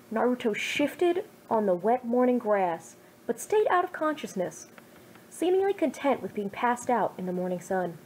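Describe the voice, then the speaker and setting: Brief: woman in her twenties, higher voice, hamming up a reading of her teenage fan fiction, faint noise behind. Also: a little mellow, rough recording. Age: 20s. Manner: self-mocking, jokey, over-the-top. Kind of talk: casual chat then dramatic story reading.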